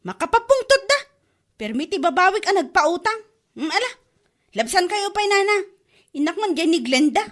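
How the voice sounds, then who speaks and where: An exasperated woman moneylender in a comedy radio drama. Her voice is high, and she nags and complains as she presses people to pay up. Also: sing-song, moderate pace, long pauses, fairly bright tone, exaggerated voice. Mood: scolding, exasperated. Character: irritated, nagging woman lender collecting unpaid debts.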